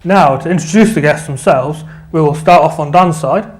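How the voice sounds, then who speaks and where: A man, co-hosting a late-night studio chat show and leading the questions in a relaxed, chatty way. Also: a little high, normal speed. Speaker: co-host.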